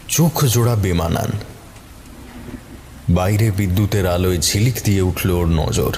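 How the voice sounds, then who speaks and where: A man reading a scripted horror story as its narrator. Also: deep voice.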